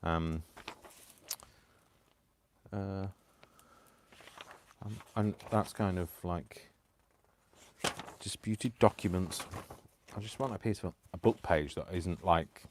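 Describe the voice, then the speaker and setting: Deep-voiced tired man rambling. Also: slow, long pauses, darkish tone, slight background noise. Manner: chatty, self-deprecating, talks to himself. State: tired, keeps clearing his throat. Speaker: live-stream crafting host. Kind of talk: rambling casual chat while crafting.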